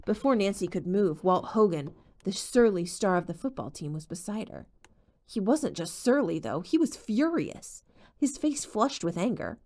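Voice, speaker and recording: A woman's voice: medium pitch, very animated, normal speed, mellow. A woman reading a novel aloud as an audiobook narrator, telling the story expressively. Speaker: audiobook reader.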